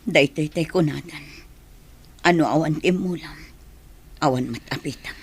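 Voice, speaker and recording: A woman playing an anguished, remorseful mother in a radio drama, speaking in a husky, plaintive, earnest voice. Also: low voice, measured pace, noisy background. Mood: sorrowful, regretful. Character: anguished, remorseful mother longing for her children. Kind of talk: Ilocano radio drama acting.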